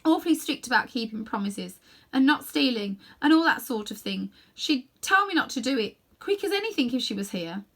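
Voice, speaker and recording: A woman voicing an earnest, troubled schoolboy in a storybook, halting and indignant. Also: a little high, lively intonation, fairly bright tone, pitched above the performer's own voice. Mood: miserable, defiant. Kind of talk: children's storybook character voice.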